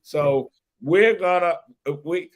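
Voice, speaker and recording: A man's voice: sits high, slow. A middle-aged man from the Detroit area hosting a podcast, talking boastfully and stumbling over his words. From Detroit area, Michigan. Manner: boastful, stumbling, laughs at own jokes. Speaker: podcast host. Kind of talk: casual podcast chat.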